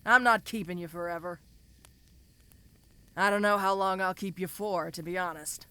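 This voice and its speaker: A woman's voice: on the low side, fairly even intonation, normal speed, bright, forceful tone, clean tone, no room sound. A woman voicing a domineering giant ogre mercenary in an audio roleplay, speaking to the listener in a casual, commanding, teasing way. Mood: reassuring, teasing. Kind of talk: first-person audio roleplay addressed to the listener.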